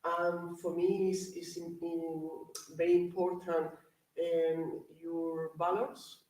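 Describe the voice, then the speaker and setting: Slow, flat-voiced woman from Spain. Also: fairly low pitch. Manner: slow, hesitant, many fillers. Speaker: participant. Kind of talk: interview answers. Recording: very echoey, from far off.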